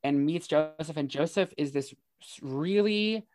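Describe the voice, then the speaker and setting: A man's voice: a little high, unhurried, darkish tone, no echo, studio quality. A man co-hosting a casual podcast, speaking informally with swearing and repeating phrases for emphasis. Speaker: podcast co-host. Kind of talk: casual chat about horror movies.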